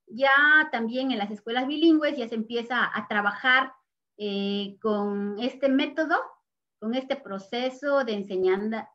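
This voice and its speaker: Slow-spoken older Mexican woman. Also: on the high side, dull audio. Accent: Mexican Spanish. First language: Nahuatl. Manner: measured and earnest, pausing with soft fillers. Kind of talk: slow, reflective interview testimony.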